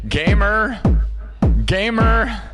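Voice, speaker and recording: A man's voice: high-pitched, very slow. Rambling upbeat man hosting a music livestream. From Des Moines, Iowa, US. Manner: upbeat and rambling, keeps asking viewers "right?". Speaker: livestream host and live-looping musician. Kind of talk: casual livestream chat to viewers. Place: home music studio, indoors.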